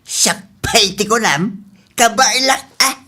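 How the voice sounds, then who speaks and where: Older teasing uncle, ilocano accent, radio drama, bright punchy voice. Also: male voice, sits high, takes it slowly, heavily acted. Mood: folksy, teasing. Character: older uncle, the husband who cooks.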